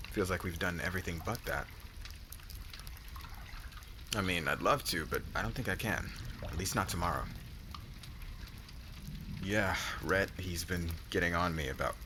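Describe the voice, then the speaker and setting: A man's voice: low voice, natural voice. A man playing a cocky, possessive young warrior husband in an intimate ASMR roleplay, talking in casual, teasing modern slang. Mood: casual, reluctant. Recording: clear background noise, water ambience.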